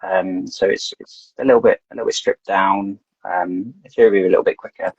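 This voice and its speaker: A man with a low, gravelly, husky voice talks loosely on a podcast, circling back and repeating himself as he thinks. The recording sounds dull and lo-fi, with a dry close sound. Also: fairly even intonation, a little fast, a little mellow, no room sound. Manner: rambling, repeats words as he talks. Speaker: podcast guest. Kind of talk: casual podcast chat.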